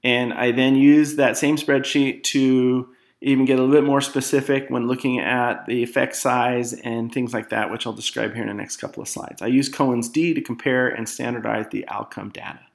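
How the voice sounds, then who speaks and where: A man narrating an academic slide presentation as a student, halting at times with self-corrections and repeated words. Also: mid-range voice, steady tone, gravelly.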